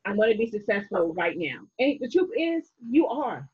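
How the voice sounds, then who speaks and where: Husky-voiced woman hosting a chat. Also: mid-range voice, moderate pace, narrow, tinny audio. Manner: lively, encouraging, motivational. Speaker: host. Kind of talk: casual interview chat.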